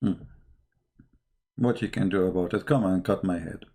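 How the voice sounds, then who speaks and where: A man's voice: deep voice, moderate pace, warm tone. Emphatic man, non-native English speaker. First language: not English. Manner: emphatic, repetitive, rhetorical questions. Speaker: online commentator. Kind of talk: impromptu polemical commentary.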